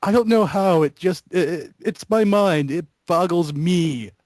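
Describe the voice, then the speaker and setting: A man rambling casually in a group chat, halting and self-deprecating as he piles one thought onto the next. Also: high voice, a little mellow, dry recording, studio quality. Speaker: gaming group member.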